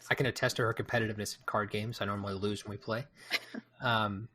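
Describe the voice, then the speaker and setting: A man's voice: lowish voice, level tone, a little fast, bright, forceful tone. A man from Kansas hosting a book podcast, leading the questions in an upbeat, casual way. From Kansas, USA. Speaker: podcast host. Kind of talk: casual chat.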